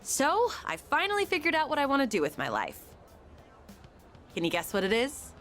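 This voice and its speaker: A woman's voice: high-pitched, huge pitch swings, normal speed, fairly bright tone, faint music behind, full character voice. A woman playing an upbeat, sporty teenage schoolgirl in a video game, chatty, frank and playful. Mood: playful, hopeful. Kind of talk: English video game voice acting.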